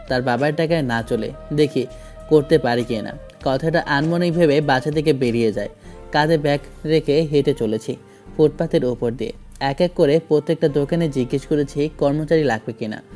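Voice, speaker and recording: A man's voice: on the high side, darkish tone. A man narrating a first-person romantic story in a dramatic scripted style.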